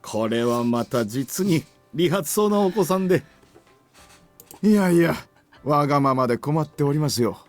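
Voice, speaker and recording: A man playing a courteous middle-aged gentleman in a Japanese visual novel, speaking formally and politely. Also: a little high, expressive, quick pace, soft background music, lightly acted. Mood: polite, genial.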